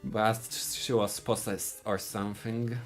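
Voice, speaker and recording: A man from Poland with a Polish accent, giving live speedrun commentary in a halting way, often restarting his sentences. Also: on the low side, little pitch movement, faint music behind. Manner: halting, searching for words, frequent restarts.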